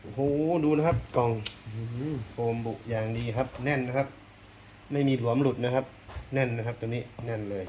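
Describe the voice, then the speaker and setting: A man's voice: mid-range voice, fairly even intonation, fairly quick, mellow. A man giving casual hands-on unboxing commentary, thinking aloud as he explores, with polite phrasing. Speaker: unboxing video host. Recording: clear background noise, rustling and clicking.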